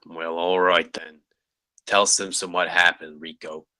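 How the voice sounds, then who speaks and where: An actor playing a lazy, jaded campus security guard in a comedy table read, deadpan and offhand, with a gravelly voice. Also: male voice, sits low, measured pace, dry recording, heavily acted. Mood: dry. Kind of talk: comedy script read aloud.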